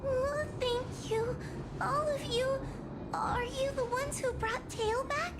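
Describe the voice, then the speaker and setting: A woman's voice: extremely high pitch, steady tone, slow, background noise. A woman voicing a timid, self-effacing young exorcist girl in an anime-style game, meek and hesitant. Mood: grateful. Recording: loud background music.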